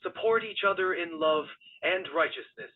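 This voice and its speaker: A synthetic man plays a reverent prophet telling his story with solemn formality. The voice sits high for a male and has a husky grain. It comes through narrow and tinny, like a phone speaker. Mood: earnest counsel. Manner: solemn, formal, measured phrasing. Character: Moses, reverent elder prophet recalling his people's deliverance. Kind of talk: dramatic first-person AI chatbot storytelling.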